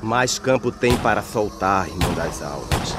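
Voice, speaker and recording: A man playing a stoic, resigned peasant pallbearer, speaking deliberate, solemn verse in an animated film. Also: fairly low pitch. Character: stoic male peasant carrying the dead, dry, resigned. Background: music.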